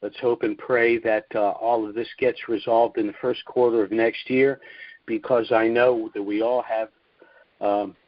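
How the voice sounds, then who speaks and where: A man's voice: on the low side, level tone, a little slow, dry recording. Guy hosting a conference call update, explaining stuff step by step, dry lo-fi sound, leans on key words. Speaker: host of an investment update call. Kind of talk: informal conference call briefing, explanatory monologue. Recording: dull, lo-fi conference call audio.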